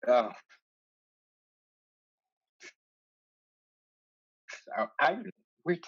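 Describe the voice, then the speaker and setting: Wry pirate suitor played by an actor, unhurried, halting, calculating; slightly muffled recording. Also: medium pitch, lively intonation, fairly bright tone, slightly rough. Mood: flustered, halting. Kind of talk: staged play reading. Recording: dull lo-fi sound.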